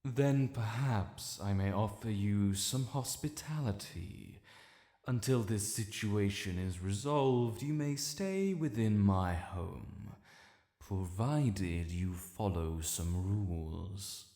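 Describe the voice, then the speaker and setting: In a long, cavernous reverb, an ancient dragon lord played by a man speaks slowly with a British accent. The voice is low and stately, proud and honorable, with the formal bearing of a stern guardian in a fantasy audio drama. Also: mellow. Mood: stern, softening. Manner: formal, proud, measured and imperious. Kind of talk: fantasy audio roleplay addressed to the listener.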